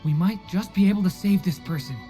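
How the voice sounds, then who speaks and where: An actor playing an earnest, caring young companion in a video game, measured and concerned. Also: male voice, sits high, warm tone, faint music behind, lightly acted. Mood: thoughtful.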